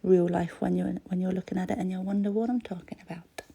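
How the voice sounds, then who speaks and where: A croaky-voiced woman, chatting casually as she crafts, often trailing into a whisper. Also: fairly low pitch, steady tone, quick pace, dark tone. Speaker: crafting vlogger. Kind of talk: casual voice-over chat while crafting.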